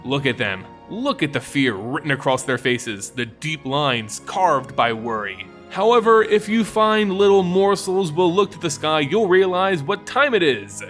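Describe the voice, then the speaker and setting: A man's voice: fairly high pitch, lively intonation, unhurried, few pauses, a bit bright. A man voicing an arrogant male vampire who gloats with mock courtesy at his human prey in a fantasy story. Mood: gloating, mock-polite. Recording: music behind the voice.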